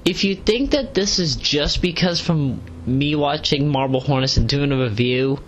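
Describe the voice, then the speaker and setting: A man rambling through an audio log in a halting way, with stiff, formal wording and repeated phrases. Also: on the high side, lo-fi sound. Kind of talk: rambling audio log reading out comments with asides. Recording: clearly noisy home recording.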